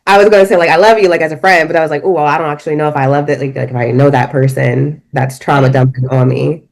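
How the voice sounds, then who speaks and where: A woman co-host on a podcast who rambles through her points in quick bursts, full of fillers and asides. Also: low voice, steady tone, vocal fry. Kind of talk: casual chat.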